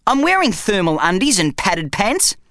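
A man voicing a stubborn, excitable young boy who argues back indignantly in a comic storybook reading. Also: high voice, normal speed, smooth, no room sound, studio quality, pitched above the performer's own voice, heavily acted. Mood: insistent. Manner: indignant, argumentative.

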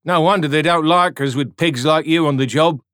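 A man's voice: mid-range voice, normal speed, smooth, no room sound, studio quality, voice pushed up, close to an everyday voice. A man reading an audiobook voicing a kindly, firm older soldier. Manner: kind but firm.

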